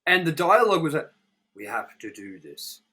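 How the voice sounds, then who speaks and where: A man's voice: fairly high pitch, big pitch swings, normal speed, sharp tone. A man co-hosting a casual movie review chat, rambling emphatically and swearing freely. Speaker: review show co-host.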